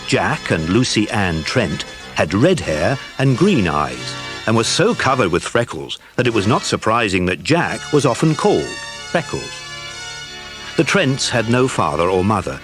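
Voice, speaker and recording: A man's voice: on the low side, sing-song, moderate pace, over music. A man with a British accent narrating a scripted children's adventure story.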